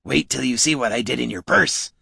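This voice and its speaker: Man acting a small male kobold, cynical, brusque, smug, gravelly, fantasy audiobook. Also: mid-range voice, brisk, pushed and bright, no echo, full character voice. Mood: mocking. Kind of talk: audiobook character dialogue.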